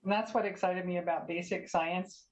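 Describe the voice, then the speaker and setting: Low-voiced woman scientist on dull audio. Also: steady tone, moderate pace. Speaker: scientist panelist. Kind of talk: panel answers. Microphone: video call.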